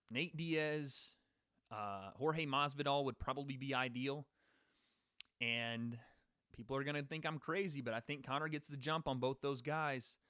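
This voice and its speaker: A man's voice: mid-range voice, sharp tone, clear, resonant tone, no echo. Male stream host, casual rambler, chats with his viewers, mid voice, dry sound. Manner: casual, rambling, talks to live chat. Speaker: podcast host. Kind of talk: live-stream sports predictions.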